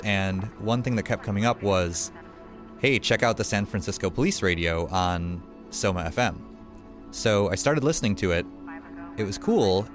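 An American man telling a story casually as an interviewee. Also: lowish voice. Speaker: interviewee, website creator.

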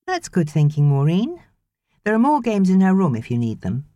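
A British woman voicing a brisk, fussing young mother in an audiobook. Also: fairly low pitch, wildly swooping pitch, dark tone, no room sound, highs cut off, voice pushed up. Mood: careful, fussing. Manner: brisk, instructing.

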